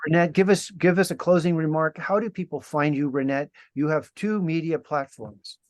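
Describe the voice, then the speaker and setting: A man hosting an interview over a video call, speaking haltingly, with many fillers and restarts. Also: fairly high pitch, steady tone, dry recording. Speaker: interview host.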